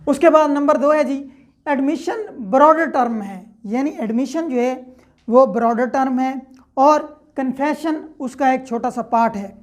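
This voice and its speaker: A woman's voice: sits high, unhurried. A woman giving an explanatory lecture, step by step, repeating points and checking that listeners follow. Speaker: law lecturer.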